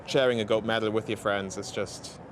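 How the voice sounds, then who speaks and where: Athlete, male, German accent, low-pitched, halting with fillers, outdoor street noise behind. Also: steady tone. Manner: halting, many fillers and restarts. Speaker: interviewed cyclist. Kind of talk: post-race interview.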